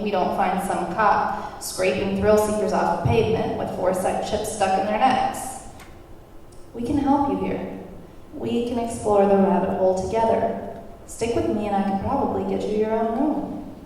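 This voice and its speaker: A woman's voice: mid-range voice, moderate pace, dark tone, distant mic, natural voice. A woman playing a worldly, thrill-seeking underground guide at a screenplay table read, in a noisy, echoey room. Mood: reassuring.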